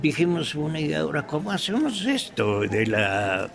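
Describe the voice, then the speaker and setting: An older man with an Ecuadorian highland accent reminisces in an interview, halting and anecdotal. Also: big pitch swings, vocal fry, a crowd in the background. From Quito, Ecuador. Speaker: veteran radio theatre actor being interviewed. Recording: noisy room recording.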